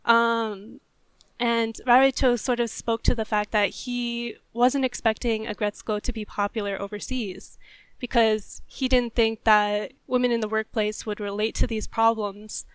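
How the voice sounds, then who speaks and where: A woman with a fairly high, very clean and smooth voice speaks as a podcast host in a dry, close-sounding space. She talks conversationally and thoughtfully, working through her points in a casual analytical discussion. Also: little pitch movement, a little slow, no echo.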